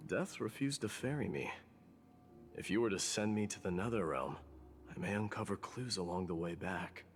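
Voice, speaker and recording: Man acting a deep, solemn warrior prince. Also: a little hiss or hum, lightly acted. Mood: grave, proud. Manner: formal, measured, dignified. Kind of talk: scripted video game dialogue. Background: game music.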